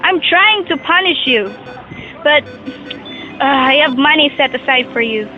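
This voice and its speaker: High-voiced stern old father, acted by a young woman. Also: animated, unhurried. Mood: ailing, resolute. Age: young adult. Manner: lecturing, admonishing. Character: stern, ailing old rich father of two sons. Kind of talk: amateur student audio drama. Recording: muffled amateur recording with music.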